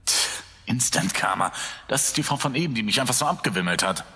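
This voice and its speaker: A man's voice: medium pitch, expressive, fast talker, sharp tone, slightly rough, long reverb tail, heavily acted. A man playing a determined young man, blunt and decisive. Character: determined young man searching for his friend. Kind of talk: audio drama acting.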